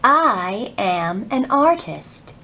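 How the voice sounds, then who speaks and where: A woman hosting a children's storytime, reading and teaching in an animated way with careful enunciation for young listeners. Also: mid-range voice, very slow. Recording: roomy echo, faint background noise.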